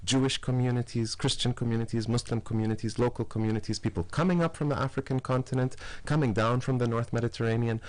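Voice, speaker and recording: A man's voice: medium pitch, fairly even intonation, quick pace, few pauses, darkish tone. A man as a radio interview guest, explaining at length in long lists with frequent fillers and restarts. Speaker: guest artist.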